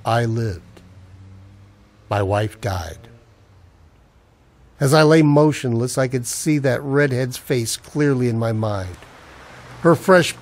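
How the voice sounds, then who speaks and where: Haunted husband in a noir radio drama; mid-pitched, brooding, clipped hardboiled narration. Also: male voice. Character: Peter, haunted married man narrating noir misfortune.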